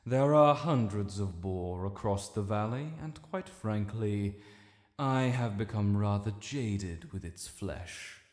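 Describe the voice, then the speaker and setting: In a long, echoing reverb, an ancient British dragon lord acted by a man addresses the listener. The voice is low and very slow, with formal, stately diction. The character is regal and stern yet protective, as in a fantasy audio drama. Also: animated. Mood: stately, weary. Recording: echoey cavernous reverb.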